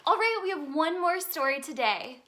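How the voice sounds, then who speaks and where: A woman reading a children's story aloud in an expressive, sing-song way for young listeners. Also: high voice, normal speed. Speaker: children's storybook reader, in a tooth fairy persona.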